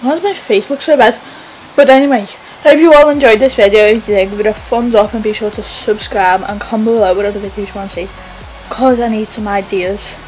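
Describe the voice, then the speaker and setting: A woman's voice: mid-range voice, fairly even intonation, brisk, rough recording. A teenage girl vlogging from her bedroom, talking in a chatty, rambling way and mumbling while she cooks. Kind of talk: casual vlog chat while cooking. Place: small bedroom indoors. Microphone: camera mic close up. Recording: loud music playing under the talk.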